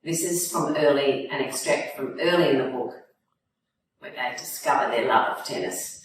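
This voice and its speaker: A woman's voice: extremely low pitch, normal speed. A woman, an author reading her own fiction aloud in a storytelling style. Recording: echoey room, distant mic, faint noise.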